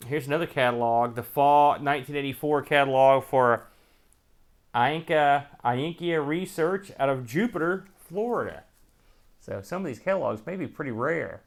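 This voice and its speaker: An American man with a noticeably creaky, gravelly voice at an ordinary male pitch hosts a casual unboxing. He rambles along, thinking out loud as he sorts through items and comments on them. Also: moderate pace. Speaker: host of a retro computing show.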